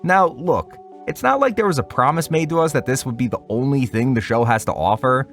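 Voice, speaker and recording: A man's voice: medium pitch, lively intonation, fairly quick, fairly bright tone. Guy doing a youtube style review, voice goes up and down a lot, kinda wry, bit of music under him. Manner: wry, opinionated, informal slang asides. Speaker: anime reviewer, online video host. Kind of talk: scripted video essay review with casual asides.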